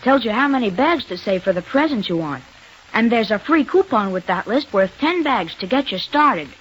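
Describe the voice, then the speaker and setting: Woman as chirpy boy pitchman, highish animated voice, friendly and eager, muffled vintage radio ad. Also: moderate pace, breathy edge. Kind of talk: 1930s radio advertisement. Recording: muffled, hissy old radio recording.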